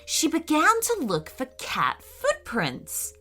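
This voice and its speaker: Animated, bright-voiced woman children's storyteller. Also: high-pitched, takes it slowly, soft background music. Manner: careful, dramatic storytelling. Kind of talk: expressive scripted bedtime story narration.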